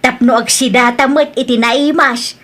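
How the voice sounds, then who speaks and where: A woman's voice: high voice, fairly even intonation, normal speed. A woman with an Ilocano accent playing an inquisitive, exclaiming village wife in an audio drama. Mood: curious, exclaiming. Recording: loud music behind the voice.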